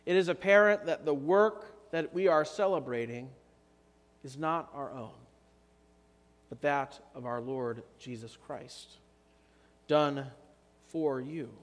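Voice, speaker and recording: A man in his thirties delivers a sermon in an earnest, unhurried way, leaning on pauses for emphasis. His voice sits a little high for a man. The church sanctuary around him lets every phrase ring out with a long reverb. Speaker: pastor. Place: church sanctuary, standing at the altar.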